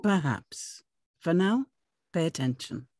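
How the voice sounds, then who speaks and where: A woman's voice: on the low side, lively intonation, slow pace, a little mellow. A woman reading the part of a stern, teasing male Haitian teacher, pointed and formal, at a script table read. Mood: sardonic, forgiving.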